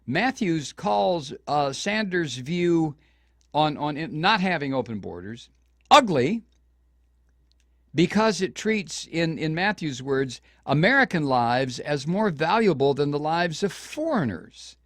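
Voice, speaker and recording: A man's voice: on the high side, sing-song, slow, dry recording, clean studio sound. An older man hosting a political talk show, delivering indignant commentary with frequent restarts and stumbles. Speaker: radio talk show host.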